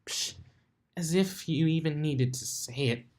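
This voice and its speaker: Brash young mare pegasus, male reader, cocky and teasing, casual delivery, lifted pitch. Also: a little slow, a little mellow, slight background noise, lightly acted. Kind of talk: fan fiction character reading.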